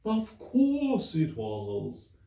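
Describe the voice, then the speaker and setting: Sorrowful, easily offended old storybook turtle, higher-pitched, very drawn out, in an echoey room. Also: male voice, huge pitch swings, creaky, mellow, heavily acted. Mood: solemn. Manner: slow, drawn-out, sorrowful delivery. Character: mournful, touchy old male turtle, sighing over schooldays. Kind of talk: children's storybook reading. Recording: echoey room, distant microphone.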